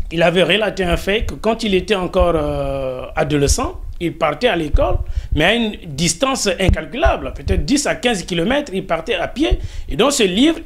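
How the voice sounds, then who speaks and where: High-pitched Congolese man, formal and emphatic. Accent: Congolese French. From Congo-Brazzaville. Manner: formal, emphatic, repeats phrases for rhetorical effect. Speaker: interviewee, diaspora spokesman. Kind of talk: political interview answers.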